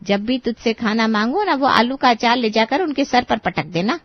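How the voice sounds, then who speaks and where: A woman's voice: a little high, lively intonation, moderate pace, dry recording, highs cut off. A woman playing a feisty, teasing middle-aged mother in a dramatized story, scolding and encouraging her grown daughter. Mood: gleeful encouragement.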